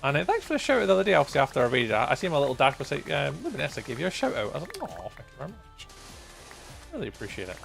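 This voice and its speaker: A Scottish man in his thirties with a softened accent, rambling casually on a live gaming stream with many ums and restarts. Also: fairly high pitch, wildly swooping pitch, racing, vocal fry, faint music behind. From Scotland.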